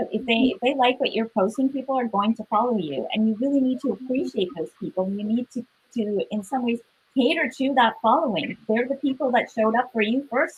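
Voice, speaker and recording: A woman with a somewhat high, husky voice speaks as a panelist. She offers practical advice in a conversational style. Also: a little fast, rough recording. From born in Sri Lanka, moved away at five to grow up in Canada. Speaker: panelist, influencer.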